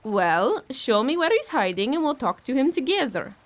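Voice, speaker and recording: A woman's voice: sits high, big pitch swings, normal speed. An actress playing a tough, sardonic Bulgarian woman minding a child in an audio horror drama, coaxing and wry. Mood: coaxing, confident.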